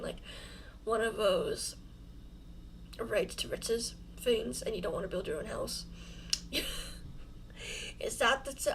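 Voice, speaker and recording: Young woman with a high, creaky voice, giggly and rambling, mouth sore after the dentist, talking over her build. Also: a little hiss or hum, rough recording. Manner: rambling, self-deprecating, giggly. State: mouth sore from fresh dental fillings. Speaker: YouTuber host. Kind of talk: casual voice-over commentary on a home build.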